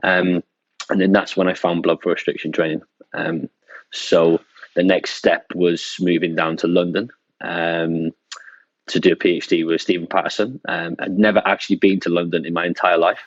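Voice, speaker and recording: Man, 30s, very deep voice, dull dry remote sound, relaxed and hesitant. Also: moderate pace, no echo. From North Wales. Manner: relaxed, hesitant with many ums. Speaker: guest researcher. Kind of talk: conversational interview.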